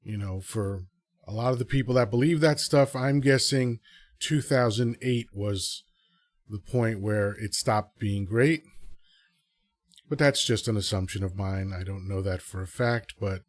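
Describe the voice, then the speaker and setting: Dry, low-voiced man hosting a podcast. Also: a little slow, no echo. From Dominican Republic. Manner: rambling, self-correcting, earnest. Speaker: podcast host. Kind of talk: casual solo commentary to a live audience.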